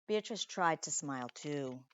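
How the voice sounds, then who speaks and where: A woman's voice: low voice, expressive, a bit bright, dry recording. Woman reading a storybook, dry muffled sound. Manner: deliberate, dramatic pauses, questioning the listeners. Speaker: teacher reading aloud to pupils. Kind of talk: expressive storybook read-aloud with asides to children.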